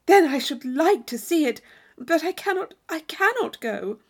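A woman reader acts out a naive young lady from a classic storybook. Her voice is high and swoops with eagerness, earnest, wondering and pleading. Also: animated, a little slow. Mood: eager, wondering.

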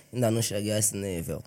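A man's voice: on the low side, darkish tone. A nineteen-year-old man, a rapper guest who answers bashfully, laughs often and dodges personal questions. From Angola. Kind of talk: casual interview chat.

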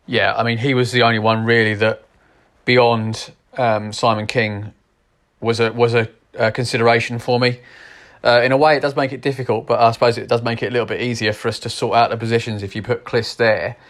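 A man's voice: medium pitch, flat delivery, brisk, a bit bright. A man talking casually as a podcast co-host, reasoning things through. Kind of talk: casual chat.

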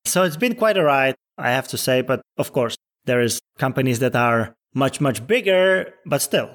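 A man's voice: mid-range voice, animated, moderate pace, fairly bright tone. A man in his thirties from the Czech Republic, a startup CEO answering interview questions in an earnest, explanatory way. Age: 30s.

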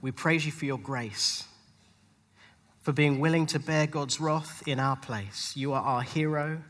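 A male pastor delivering a rhyming spoken-word sermon, dramatic and rhythmic, with emphatic phrasing and deliberate pauses. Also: fairly high pitch, a bit bright. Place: large hall at a live church event. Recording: long hall reverb, live event.

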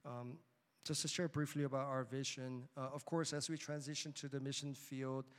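Man, missionary presentation, measured and hesitant, smooth resonant voice, long hall echo. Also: medium pitch, little pitch movement. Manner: measured, frequent ums and uhs, hesitant.

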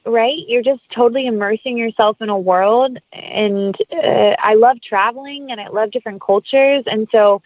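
A woman's voice: a little high, moderate pace, few pauses, dry recording. A woman speaking as an interview guest, chatting casually about her creative work in an enthusiastic, effusive way. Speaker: interview guest, actress and writer. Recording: thin phone-like sound.

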